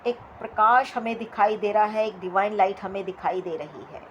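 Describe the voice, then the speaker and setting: A woman leading a guided meditation as instructor, with soothing, repetitive phrasing and pauses between short instructions. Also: mid-range voice, dull audio, slight background noise.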